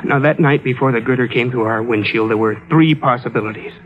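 A man's voice: mid-range voice, fairly quick, natural voice. An actor playing a bereaved, desperate man, earnest and insistent, in mid-century radio drama style. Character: bereaved, desperate man insisting his love still lives. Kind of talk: 1940s-50s radio drama acting. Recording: dull old radio recording.

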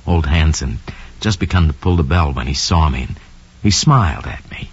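An actor playing a smug, scheming young murderer who confesses coldly and boastfully in an old radio drama monologue. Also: male voice, rumbling low, expressive, moderate pace, husky, heavily acted. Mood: smug, calculating. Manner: sly, cold, boastful, slangy. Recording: dull lo-fi old radio recording.

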